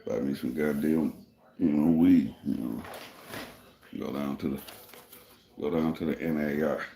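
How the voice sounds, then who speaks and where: A man from Michigan hosting a casual livestream, with a deep gravelly voice people call a radio voice, talking in a laid-back, rambling way. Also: unhurried, mellow, echoey room. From Michigan, USA. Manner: laid-back and rambling, many "you know" fillers. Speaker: livestream host. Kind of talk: casual livestream chat answering viewers.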